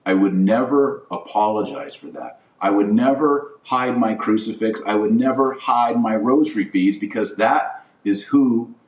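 An American man delivering a political monologue as a podcast host, emphatic and deliberate, stressing his phrases with pauses. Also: fairly low pitch. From United States. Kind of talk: political platform monologue, speech-like. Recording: roomy echo, distant from mic.

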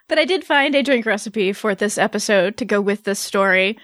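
A woman's voice: medium pitch, animated, a little fast, fairly bright tone, no echo, studio quality. A woman hosting a podcast in a casual, chatty and teasing way. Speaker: podcast host.

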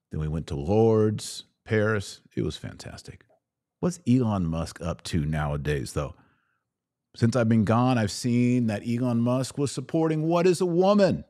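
A man with an American accent and a fairly low voice speaks as a podcast host. He gives conversational news commentary, punching short phrases for emphasis. The sound is dry and close, like a studio with little room reflection. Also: a little mellow, dry recording. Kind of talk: live-streamed opinion commentary on news.

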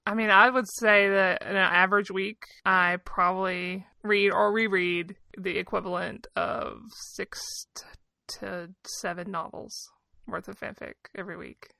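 A woman's voice: mid-range voice, steady tone, unhurried, creaky, sharp tone, no echo. A woman in her thirties chatting casually as a podcast co-host, telling personal stories in a candid, informal, self-deprecating way. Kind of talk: casual personal storytelling and chat.

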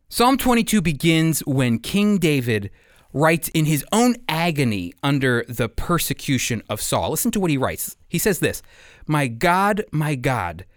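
A man with an American accent and a fairly high voice delivers Bible teaching in a very animated, rising and falling melody. He phrases things earnestly and deliberately, leaning on key words. The sound is dry and close, like a prepared radio talk. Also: dry recording. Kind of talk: scripted Bible teaching and news commentary.

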